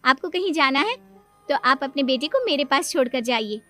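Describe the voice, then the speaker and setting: A woman's voice: high voice, animated, normal speed, pushed and bright, faint music behind, pitched above the performer's own voice. A woman voicing an affectionate, devoted young aunt in a cartoon moral story, earnest and eager.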